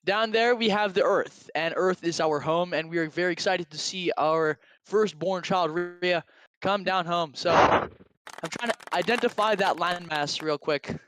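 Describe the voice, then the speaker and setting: High-voiced hammy young commentator. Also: male voice, measured pace, fairly bright tone, low-quality recording. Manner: hammy, boastful, showman. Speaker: guest commentator. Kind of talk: playful livestream commentary.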